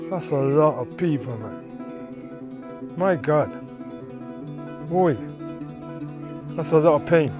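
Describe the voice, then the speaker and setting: Slow-talking emphatic man at a protest. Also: fairly high pitch, lively intonation, over music. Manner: emphatic, exclaiming.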